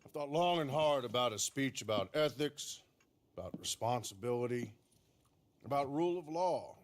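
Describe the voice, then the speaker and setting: An actor playing a resolute Old West lawman with an American accent, speaking in a dry, measured, plain way for a game cutscene. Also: medium pitch, animated, dry recording, close to an everyday voice. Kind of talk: video game cutscene acting.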